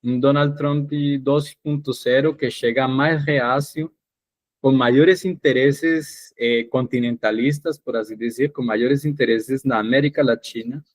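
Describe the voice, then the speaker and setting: A man's voice: medium pitch, steady tone, unhurried, no room sound. A Colombian man whose first language is Spanish, giving political commentary in Portuguese mixed with Spanish words and frequent "digamos" fillers. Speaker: guest analyst.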